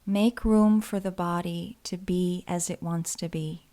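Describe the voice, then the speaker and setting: Gentle, lower-pitched woman guiding a meditation. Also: fairly even intonation. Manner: soothing, gentle, unhurried, with pauses. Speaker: meditation guide. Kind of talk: guided body scan meditation.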